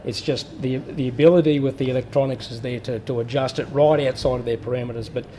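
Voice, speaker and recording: A man's voice: medium pitch, steady tone, quick pace, dark tone, a little hiss or hum. A man in a workshop explaining a welding machine step by step, plain-spoken with fillers and restarts. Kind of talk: hands-on technical explanation.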